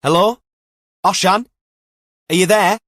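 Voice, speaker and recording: Guy doing a lady police boss for an audiobook, careful, dry humour, voice lifted higher, no room sound. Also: slow, a bit bright, no room sound, heavily acted. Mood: calling out, concerned. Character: female detective inspector, cautious, dryly wry, commanding. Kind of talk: audiobook character dialogue.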